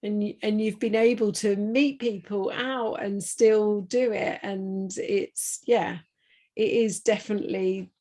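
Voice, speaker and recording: A woman leading a group coaching call, encouraging members with soft affirmations. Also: mid-range voice, steady tone, unhurried.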